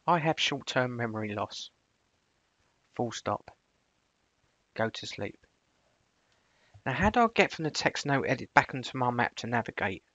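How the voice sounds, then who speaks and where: A man presenting a screencast software tutorial, switching between casual explanation and clipped spoken commands. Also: mid-range voice, dry recording, dull audio.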